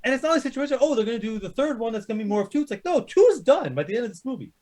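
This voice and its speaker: Very high-voiced, fast-talking insistent man. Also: sing-song, darkish tone. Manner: insistent, argumentative. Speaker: podcast panelist. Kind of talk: casual argumentative panel chat.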